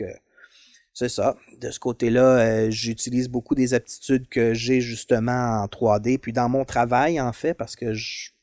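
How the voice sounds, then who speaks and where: A man's voice: mid-range voice, a little mellow, no echo, studio quality. A man in his thirties with a Quebec French accent, chatting as a podcast guest about his hobbies, hesitant with many fillers. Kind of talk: casual interview chat.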